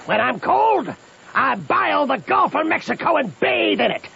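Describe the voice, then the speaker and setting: A man's voice: extremely high pitch, big pitch swings, normal speed, gravelly, pushed and bright, slight background noise, full character voice. An actor playing a swaggering, quarrelsome raftsman who proclaims grandiose boasts in a bombastic old-time radio style. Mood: grandiose boasting. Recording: muffled old radio recording.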